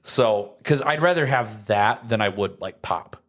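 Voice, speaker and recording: Low-voiced guy chatting. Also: expressive, unhurried, a bit bright. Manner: rambling, anecdotal, full of slangy asides. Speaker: podcast co-host. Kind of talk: casual chat.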